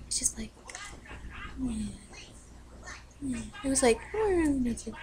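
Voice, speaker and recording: A young woman in her teens rambling in a hyper, filler-heavy way in a casual bedroom webcam rant, her voice low and rough from the cold. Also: animated, takes it slowly. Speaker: vlogger. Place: bedroom, indoors. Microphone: built-in webcam mic. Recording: noisy webcam recording with TV behind. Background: TV playing in the background.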